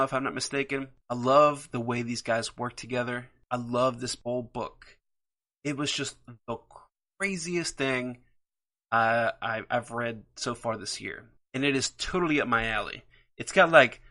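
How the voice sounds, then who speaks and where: Bright-voiced enthusiastic male podcast host. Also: mid-range voice. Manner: enthusiastic, rambling with fillers. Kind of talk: solo podcast comic book picks.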